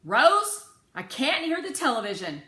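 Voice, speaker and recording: Woman doing a forceful, exasperated, short-tempered dad. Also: high voice, very animated, measured pace, pitched above the performer's own voice, full character voice. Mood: irritated. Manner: curt, weary, exasperated. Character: short-tempered, exasperated father of a young girl. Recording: roomy echo, faint background noise.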